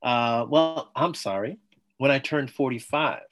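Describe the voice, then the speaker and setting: A man's voice: medium pitch, measured pace, a bit bright. Enthusiastic man telling his story. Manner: enthusiastic, talks in bursts, acts out quoted dialogue. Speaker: podcast guest, former Olympic athlete. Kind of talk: conversational interview storytelling.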